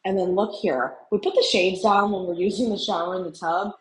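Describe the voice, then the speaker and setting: A woman's voice: medium pitch, steady tone, fairly quick. A middle-aged woman from Houston, a real estate broker, giving an enthusiastic, admiring tour of a hotel suite indoors, recorded on a handheld camera. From Houston, USA. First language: Cantonese. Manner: enthusiastic, admiring, mildly rambling with fillers. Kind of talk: hotel room tour vlog.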